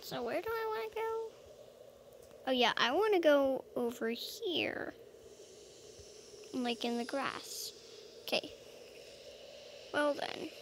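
Woman, high creaky voice, casual solo game roleplay, murmured little hums between remarks. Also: expressive, slow pace, long pauses, a little hiss or hum. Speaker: gameplay video player. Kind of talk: casual solo roleplay as a dragon while playing.

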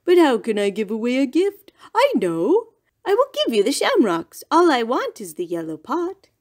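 A woman doing a polite, calm goat. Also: high voice, normal speed. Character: goat, male, calm, polite, odd eater.

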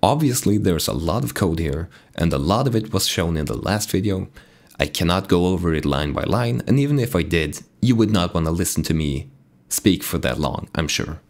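A man with a raspy voice from being sick, calmly explaining code in a tutorial walkthrough. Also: low voice, moderate pace. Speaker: game dev tutorial host. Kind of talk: screen-recorded coding tutorial narration.

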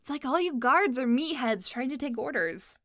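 A woman's voice: high voice, animated, moderate pace, fairly bright tone, no room sound, studio quality. A woman playing a haughty, theatrical stylist in a fantasy audio drama, dramatic and fussy. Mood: bossy, fussy.

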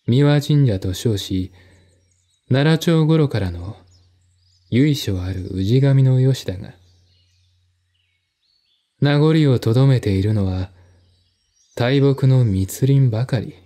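Guy narrating an audiobook, slow n deliberate, soft dark tone, formal literary style. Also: mid-range voice. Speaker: narrator, solo audiobook reader.

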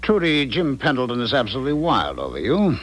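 An actor playing a smug, callous married philanderer in a 1970s radio drama, patronizing and cajoling. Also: male voice, medium pitch, huge pitch swings, quick pace, fairly bright tone. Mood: smug, condescending. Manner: patronizing, cajoling, hesitant when cornered.

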